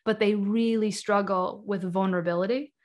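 A woman's voice: mid-range voice, fairly even intonation, normal speed. A woman in her thirties speaks as a guest in a video-call interview from her home, talking over a webcam. She explains ideas carefully and at length, filling her sentences with "like" and "um". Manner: thoughtful, explains at length with many "like" and "um" fillers. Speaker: guest author on energy healing. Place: home room, indoors.

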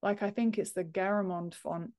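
Female, 30s, mid-range pitch, informal interviewee on a video-call podcast. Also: steady tone, moderate pace, darkish tone. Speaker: podcast guest, author and book formatter. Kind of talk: casual interview chat. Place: home office room, indoors, on a video call.

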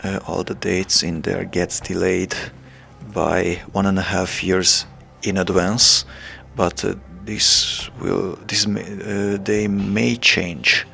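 A young man from Hungary with a Hungarian accent, making a hesitant, casual announcement to his channel's viewers, pausing often with fillers. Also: low-pitched, level tone, gravelly, sharp tone. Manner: hesitant, many filler pauses. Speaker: channel creator.

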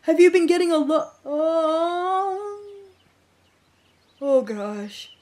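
A woman's voice: very high voice, animated, very slow, mellow, heavily acted. A woman voicing an eager young cartoon boy. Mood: excited. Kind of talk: fan comic dub.

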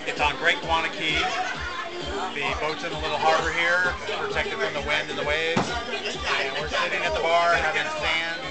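A man's voice: on the high side, level tone, normal speed, over loud music, over a noisy crowd. A middle-aged man chatting casually and teasing while filming outdoors on an action camera. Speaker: vlog co-host. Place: outdoors on a beach and at a beach bar. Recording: wind noise on an outdoor camera mic.

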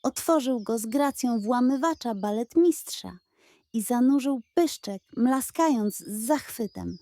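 A woman's voice: a little high, lively intonation, unhurried, warm tone, no echo. A woman narrating a scripted bedtime fairy tale for children as the storyteller.

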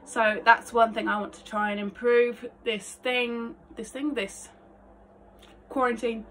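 High-pitched young woman chatting casually. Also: little pitch movement, a little slow, fairly bright tone, a little hiss or hum. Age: 20s. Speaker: aspiring actress. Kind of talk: casual vlog chat to camera. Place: small attic bedroom, indoors. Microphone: phone camera a short distance away.

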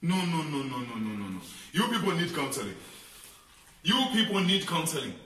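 A man playing a pastor who pleads, calms a fight and preaches, repeating his words. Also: fairly high pitch, normal speed. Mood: preachy. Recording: echoey room, far from mic.

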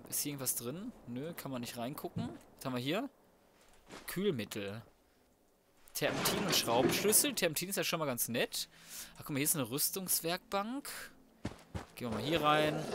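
Higher-pitched man doing slangy game commentary. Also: noisy background. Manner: slangy, swearing, thinking aloud. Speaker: gaming video host. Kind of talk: casual let's play commentary.

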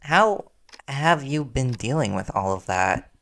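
A man playing a worried, devoted young reporter boyfriend in an intimate audio roleplay, speaking earnestly and soothingly with hesitant pauses. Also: medium pitch, animated, fairly bright tone, a little hiss or hum. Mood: gentle concern.

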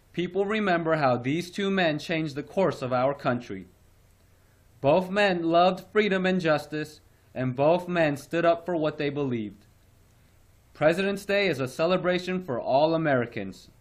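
Male reader, slightly high voice, steady read-aloud pacing for a grade-school lesson. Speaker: teacher reading aloud. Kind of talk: read-aloud narration for children.

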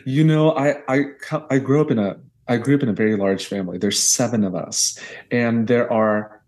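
A man's voice: mid-range voice, normal speed, a little mellow. A man in his thirties from Texas, a guest choral conductor talking earnestly in a podcast interview over a video call from a small bedroom. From Houston area, Texas. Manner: earnest, reflective, speaks in long restarted sentences. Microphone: earbuds on a video call.

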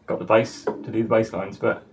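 A man's voice: on the low side, steady tone, normal speed, darkish tone, a little hiss or hum. A middle-aged man giving a casual instructional talk to camera as a musician in a small home studio. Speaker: musician and songwriter presenting.

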